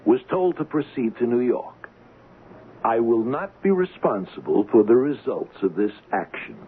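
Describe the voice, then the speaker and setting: Man acting a sardonic seafaring blackmailer, theatrically lively. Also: medium pitch, measured pace, natural voice. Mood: taunting. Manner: mocking, theatrical, taunting. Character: bitter, sardonic drunken ship's engineer turned blackmailer. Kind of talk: old-time radio drama acting. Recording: lo-fi, noisy radio drama recording.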